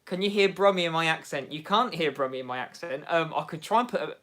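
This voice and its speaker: Chatty Midlands lad hosting a livestream. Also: male voice, fairly high pitch, fast. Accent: English Midlands. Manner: chatty and excitable, laughs often, bouncing between topics. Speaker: livestream host. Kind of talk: casual livestream chat reading viewer comments.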